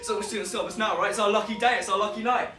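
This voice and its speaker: A man's voice: sits high, natural voice. An actor playing a remorseful young male joyrider who caused a fatal crash, in a stage drama. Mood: excited. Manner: pleading, then rushing through an excited story. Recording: echoey stage from a distant mic, noisy.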